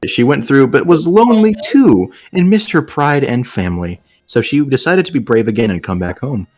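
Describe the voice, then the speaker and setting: A man's voice: mid-range voice, lively intonation, moderate pace, a little mellow, no echo. A man doing a casual read-aloud for friends, breaking off into joking, sarcastic asides. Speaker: host reading fan fiction aloud with friends.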